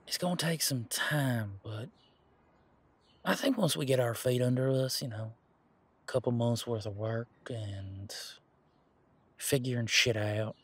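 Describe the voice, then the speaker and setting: A man playing an earnest, teasing werewolf boyfriend in an intimate roleplay audio drama, speaking casually and haltingly with a husky voice. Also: mid-range voice. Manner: casual, halting, conversational with trailing pauses. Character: male werewolf boyfriend, earnest, hopeful, teasing former bodyguard.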